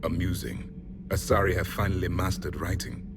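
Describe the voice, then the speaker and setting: Loud music plays under a very low male voice. It is a grim, imperious alien soldier from a video game, performed by a man, making solemn and blunt pronouncements with the pride of a fallen empire's last survivor. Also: level tone, moderate pace, lightly acted. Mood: scornful, weary. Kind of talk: dramatic video game acting. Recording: dramatic music behind the voice.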